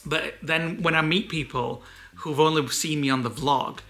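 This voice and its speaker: A man co-hosting a vlog, talking casually to camera in a soft voice, happy to chat on and on. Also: fairly high pitch, steady tone, moderate pace. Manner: soft-spoken but endlessly chatty. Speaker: vlog co-host.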